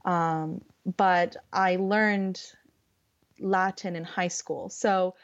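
A young woman with a Californian accent, a guest chatting in a friendly, giggly way with frequent ums and pauses. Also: fairly low pitch, fairly even intonation, lo-fi sound. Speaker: podcast guest. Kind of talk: casual conversation over a video call.

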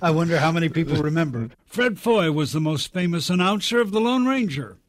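A man's voice: fairly high pitch, animated, brisk, no echo. A man hosting a radio program, introducing segments in scripted narration. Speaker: radio show host.

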